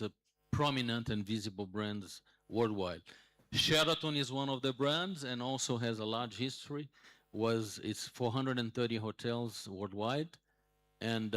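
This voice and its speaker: A man in his sixties giving a conference talk as a hotel manager, pausing often with fillers and restarts. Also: medium pitch, a bit bright, no room sound. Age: 60s. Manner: hesitant, many fillers and restarts.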